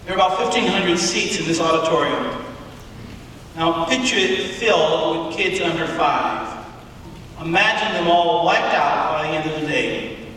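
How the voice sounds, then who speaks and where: Guy preaching in a big echoey hall, kinda far from the mic, bright high voice, really deliberate and emphatic. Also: a little hiss or hum. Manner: emphatic, deliberate, with rhetorical questions and pauses. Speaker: preacher giving a public address. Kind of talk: sermon. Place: large auditorium.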